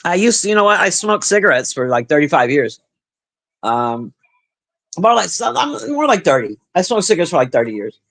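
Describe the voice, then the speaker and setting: A middle-aged woman with a deep, husky smoker's voice, chatting profanely and bluntly with her livestream viewers. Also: fairly quick. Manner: profane, blunt, animated banter. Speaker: livestream host reading chat. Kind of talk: casual livestream chat.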